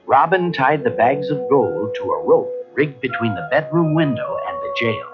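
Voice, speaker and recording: A man narrating a children's read-along story in a dramatic, expressive, scripted style. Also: mid-range voice, normal speed. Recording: muffled old cassette, music behind.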